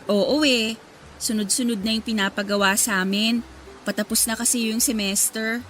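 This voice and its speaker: An actress plays a young Filipina nursing student in a radio drama. Her voice is fairly high, with a gentle, considerate, friendly manner. Faint ambient noise sits behind her. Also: steady tone, moderate pace, close to an everyday voice. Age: young adult. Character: friendly, gentle nineteen-year-old female nursing student. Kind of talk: Tagalog radio drama acting.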